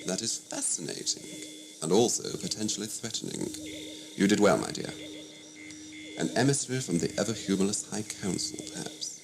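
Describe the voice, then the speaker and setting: A man's voice: on the low side, very animated, normal speed, creaky, background noise. A man playing a sardonic, superior alien renegade scientist in an audio drama, pompous, mocking and theatrical in manner.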